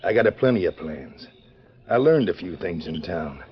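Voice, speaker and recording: An actor playing a tough, vengeful Western outlaw gang leader in 1940s radio style, talking in rough folksy frontier speech. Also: male voice, deep voice, sing-song, normal speed. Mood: scheming, resolute. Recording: dull vintage 1940s radio recording.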